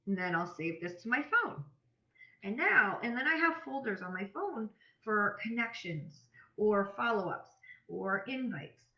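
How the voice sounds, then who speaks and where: A woman's voice: medium pitch, animated, measured pace. A woman giving a casual, chatty instructional talk as a business coach, in a conversational manner. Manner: chatty, conversational, acts out example messages. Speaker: business coach presenting a tutorial. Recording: roomy echo, slightly dull audio.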